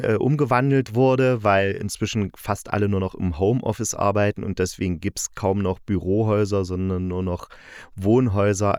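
Man, lower-pitched voice, colloquial reviewer, casual retelling, opinionated, dry room-free sound. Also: darkish tone, smooth, dry recording. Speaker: host reviewing audio dramas.

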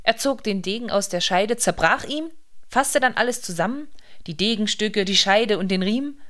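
Female narrator for a literary radio play, medium pitch, scripted storytelling. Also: expressive, moderate pace, a bit bright.

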